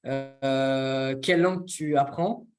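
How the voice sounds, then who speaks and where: A young man from France, co-hosting a casual discussion and often pausing to search for words. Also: medium pitch, soft background music. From France, near Toulouse. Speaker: co-host.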